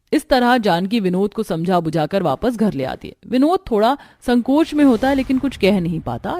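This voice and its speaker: A woman's voice: medium pitch, dark tone. A woman narrator reading a scripted family story for a cartoon.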